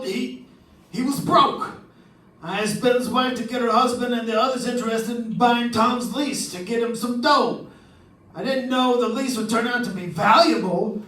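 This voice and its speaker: A man's voice: extremely high pitch, a bit bright. A man playing a defensive, exasperated supper club owner in an old-time radio detective drama. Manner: insistent, exasperated. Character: defensive male supper club owner protecting his uncle. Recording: echoey room, distant mic.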